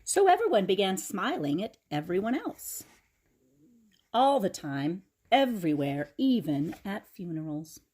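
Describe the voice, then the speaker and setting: A woman reading a picture book aloud to children in a dramatic, expressive way, with chatty explanatory asides. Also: mid-range voice, a little slow.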